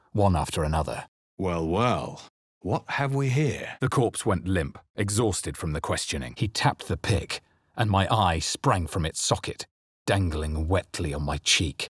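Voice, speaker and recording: A man playing a wary, brooding fantasy adventurer in a video game, with a husky voice and a grave, measured delivery. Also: sits low, expressive, no echo, clean studio sound, exaggerated voice. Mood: wary, musing. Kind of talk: dramatic fantasy game narration and character lines.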